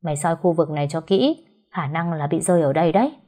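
A woman voicing a sly young master who gives orders in a brisk, commanding way. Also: sits low, lively intonation, warm tone. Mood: scheming.

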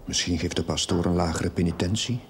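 An actor playing a troubled, defensive village curate in a television drama, speaking tersely and hushed with a Dutch accent. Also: male voice, low voice, fairly even intonation, a little fast, slight background noise. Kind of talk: television period drama acting. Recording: loud music over television soundtrack.

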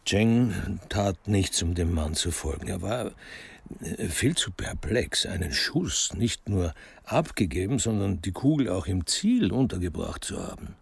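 A man's voice: low voice, lively intonation, a little slow, vocal fry, husky, no echo. A man narrating a crime radio drama in a scripted, literary style.

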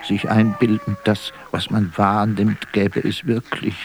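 An actor playing a melancholy, self-doubting stage player in a radio drama, speaking in a declamatory, poetic way that moves between murmur and outcry. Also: male voice, on the low side, little pitch movement, a little slow, a little hiss or hum. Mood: melancholic, lyrical. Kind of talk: theatrical radio drama monologue.

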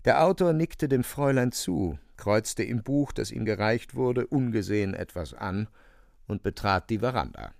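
Male narrator for radio drama: low, gravelly, wry, highly animated intonation, dry studio sound. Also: normal speed, no room sound.

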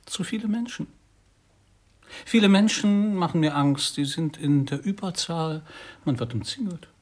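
Nervous hermit husband in a radio play, husky, fussy little short sentences. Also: male voice, on the high side, animated, a little slow, darkish tone. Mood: fearful. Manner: terse, pedantic short sentences. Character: anxious reclusive husband afraid of crowds and insects. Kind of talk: 1990s German radio play acting.

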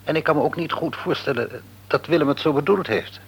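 An actor playing a middle-aged, devout father in a radio drama, reasoning in a measured way. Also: fairly high pitch, vocal fry, breathy edge, poor audio. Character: thoughtful, pious father.

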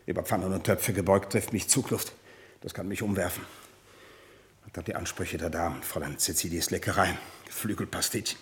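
An actor playing a weary, self-pitying old servant in a radio play, husky, formal and florid in a plaintive way. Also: male voice, deep voice, a little fast. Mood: weary complaint. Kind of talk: German radio play acting.

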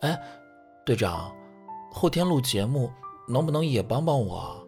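A man's voice: medium pitch, big pitch swings, unhurried, darkish tone, soft background music. A man playing a cheeky, vain young idol group member with a playful, teasing manner in an audio drama. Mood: coaxing.

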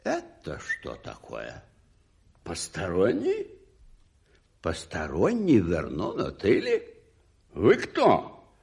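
An actor playing a haughty, curious aristocrat in a radio drama, with theatrical, imperious questioning and a husky voice. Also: male voice, low voice, huge pitch swings, slow pace, pauses often, darkish tone, exaggerated voice. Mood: startled, suspicious.

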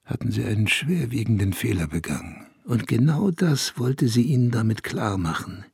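An actor playing a wistful, bitter man in a first-person radio drama, speaking in a measured, intimate way. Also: medium pitch, expressive, creaky, darkish tone, husky, no echo, studio quality, full character voice. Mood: bitter, intense. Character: wistful man recalling a lost idol, brooding and bitter.

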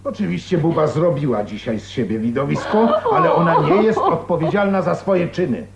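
A brusque, condescending family man scolds in a radio play. His creaky voice is lively in pitch, with loud crowd noise behind. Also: fairly quick. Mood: scornful. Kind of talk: radio drama acting. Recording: echoey room with loud group noise.

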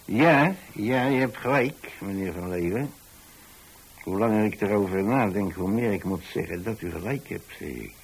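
A man's voice: on the low side, normal speed, slightly rough, rough recording. An actor playing an older, plain-spoken pensioner in a radio drama, telling his story in a hesitant, repetitive, folksy way. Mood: wistful, puzzled. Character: rambling, plain-spoken old pensioner who takes in lodgers. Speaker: narrator of a radio play.

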